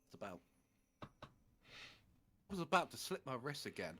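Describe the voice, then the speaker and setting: A man's voice: mid-range voice, very animated, moderate pace, sharp tone. A man doing a comedic dramatic reading of fan fiction on a livestream, theatrical and mock-serious. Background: faint music.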